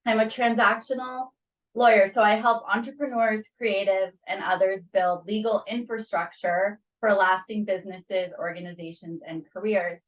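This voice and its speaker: A woman lawyer introduces herself in a clear, measured, professional way. Her voice sounds set back from the microphone, as on a video call. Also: mid-range voice, steady tone. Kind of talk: prepared self-introduction. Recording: distant call audio.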